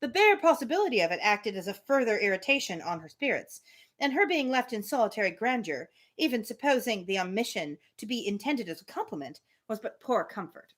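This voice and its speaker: Female book-reading host, expressive and swooping in pitch, crisp forward tone, informal commentary between passages. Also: fairly quick. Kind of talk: reading a novel aloud with casual asides.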